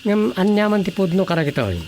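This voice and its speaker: A man's voice: high-pitched, very animated, fast talker, a little mellow. A man voicing a stern, scolding village official in a radio drama. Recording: noisy radio drama recording.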